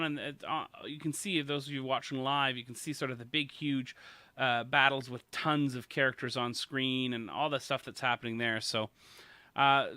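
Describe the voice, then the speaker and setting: A man hosting a casual gaming news podcast, upbeat and quick to laugh, steering the talk along. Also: medium pitch, bright, forceful tone, no room sound. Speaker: podcast host.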